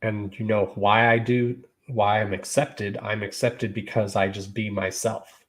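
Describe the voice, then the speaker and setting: A man in his thirties hosts his own show, speaking in a fairly low voice. He keeps it relaxed and conversational, sprinkling "you know" and "y'all" through a casual monologue to the people watching. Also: unhurried. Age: 30s. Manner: laid-back, repeats "you know" and "y'all". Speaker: podcast host.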